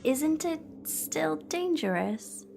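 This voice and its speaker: A woman's voice: high voice, big pitch swings, slow pace, vocal fry, a little mellow, slight background noise, exaggerated voice. A woman acting a grateful, questioning young companion of a time traveller in an audio drama, speaking plainly and conversationally. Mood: curious.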